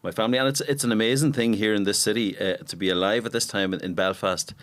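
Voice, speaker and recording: A man's voice: fairly low pitch, quick pace, fairly bright tone. A middle-aged man, a musician guest on a podcast, telling long, enthusiastic anecdotes in casual conversation. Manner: expansive, enthusiastic anecdotes with repetitions.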